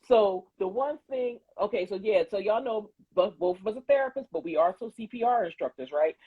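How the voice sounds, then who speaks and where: Animated woman on a tinny line. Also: mid-range voice, brisk, slightly rough, no echo. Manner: animated storytelling, swears freely, acts out quotes. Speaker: podcast co-host; therapist and CPR instructor. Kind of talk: casual chat. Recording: tinny phone-like line, dry.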